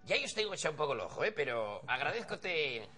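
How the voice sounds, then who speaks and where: A comedian playing a brash, loud middle-aged rural villager with an Asturian accent in a TV studio sketch. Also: male voice, fairly high pitch, big pitch swings, quick pace, faint music behind. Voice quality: loud, rustic, swearing. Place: TV studio set.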